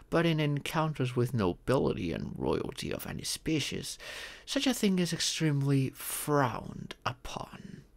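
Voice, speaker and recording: A man's voice: mid-range voice, vocal fry, full character voice. A man voicing an ancient male dragon, a former king and doting but stern father, speaking formally and patiently in an ASMR roleplay. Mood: patient, amused. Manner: formal, patient, fond, instructive. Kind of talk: ASMR roleplay, scripted monologue.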